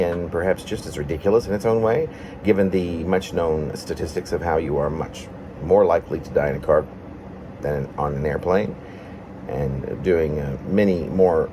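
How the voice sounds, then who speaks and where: Man, very low voice, poet sharing his own writing, personal spoken delivery, clear background noise. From Arkansas, United States. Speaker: poet reading own work. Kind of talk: casual introduction, then reading aloud autobiographical prose poem.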